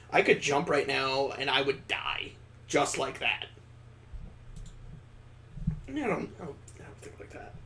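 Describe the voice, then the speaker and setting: A man's voice: on the high side, a little hiss or hum. A man reading a visual novel aloud as a let's play host, dropping in wry, casual comments of his own. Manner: wry, joking asides between read passages.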